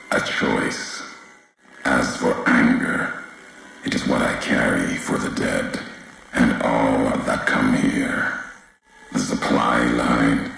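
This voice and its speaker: A male actor playing a brooding, embittered courier and former soldier in a video game, speaking solemnly and cryptically in weighty, deliberate phrases with heavy pauses. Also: a bit bright, exaggerated voice. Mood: grim, resolute. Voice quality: very deep, gravelly. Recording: roomy echo, faint background noise.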